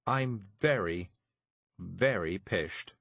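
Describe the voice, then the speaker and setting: Man doing a drowsy, fading middle-aged witch: slow, faint, dreamy. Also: deep voice, lively intonation, creaky, a bit bright, husky, dry recording, dull audio, heavily acted. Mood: dreamy, weary. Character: dazed middle-aged woman witch, weary.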